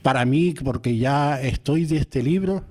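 Canarian man talking about his book on the radio, stops and repeats himself a lot, average pitch. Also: mellow. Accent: Canary Islands Spanish. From Tenerife, Canary Islands. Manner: rambling, halting, repeats words. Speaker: author guest. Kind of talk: radio interview. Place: radio studio.

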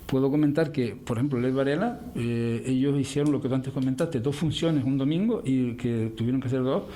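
A man's voice: mid-range voice, fairly quick, mellow. A man running a theatre, speaking as a radio guest, rambling and hesitant with many restarts. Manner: rambling, hesitant, repeats words. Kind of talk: casual radio interview.

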